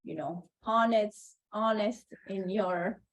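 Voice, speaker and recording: A woman's voice: mid-range voice, slow pace, muffled recording. A woman moderating a conference panel, playful and teasing, laughing easily. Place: conference room.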